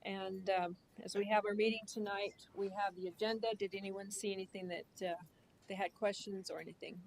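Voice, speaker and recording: A woman's voice: mid-range voice, fairly even intonation, brisk, vocal fry, a little hiss or hum. A woman chairing a school board meeting in a conference room, casual and joking as she runs the agenda. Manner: casual, plain-spoken and joking.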